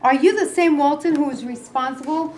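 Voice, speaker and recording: A woman's voice: high-pitched, brisk, darkish tone, a little hiss or hum, close to an everyday voice. A woman playing a pushy male newspaper reporter in a staged reading, asking probing questions. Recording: echoey room.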